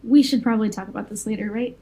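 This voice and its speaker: A woman's voice: mid-range voice, fairly even intonation, quick pace, lightly acted. A woman playing an anxious, caring young fire breather in an audio drama, speaking hesitantly. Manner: hesitant, soft-hearted, worried. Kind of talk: naturalistic audio drama dialogue.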